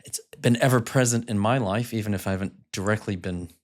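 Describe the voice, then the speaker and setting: A man's voice: on the low side, fast. A man in his fifties from the United States hosting a podcast, asking long, circling questions. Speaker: podcast host.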